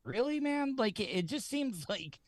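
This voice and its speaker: A man's voice: very high voice, sing-song, normal speed, dry recording, clean studio sound. A man chatting casually as a panelist on a talk show. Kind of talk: casual chat.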